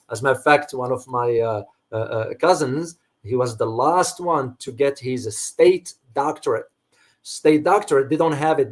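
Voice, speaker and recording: Moroccan-born man chatting casually on stream. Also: mid-range voice. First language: Arabic. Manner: rambling, frequent restarts and fillers, thanks viewers by name. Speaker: host answering viewer questions. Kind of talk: casual livestream chat.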